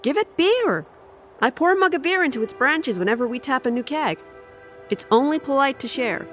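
A woman playing a bold, unfazed senior camper in a horror audio drama, with a brash, expressive delivery. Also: sits high, moderate pace. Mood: nonchalant. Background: music.

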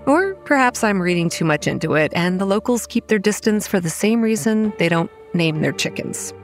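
An actress playing a wry, weary young campground manager who narrates a horror audio drama in a measured, dry way. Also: female voice, medium pitch, lively intonation, lightly acted. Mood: wry, brooding. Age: young adult. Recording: music under the voice.

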